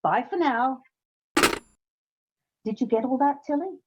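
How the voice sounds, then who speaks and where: An actress playing a brisk, wry woman who runs an odd job agency in an old-time radio comedy. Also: high voice, animated, very noisy. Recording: slightly dull, muffled sound.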